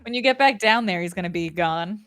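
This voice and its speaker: A woman's voice: mid-range voice, a little fast, fairly bright tone, dry recording. Playful, wry woman co-streamer. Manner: playful, giggly, wry quips. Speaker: game stream co-host. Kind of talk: casual gameplay chat.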